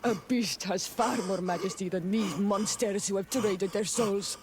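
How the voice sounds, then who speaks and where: A woman's voice: medium pitch, unhurried, heavy background noise, lightly acted. An actress playing a proud, loyal young warrior woman of a tribe in a video game cutscene, with solemn, formal and intense phrasing. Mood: bitter, defiant.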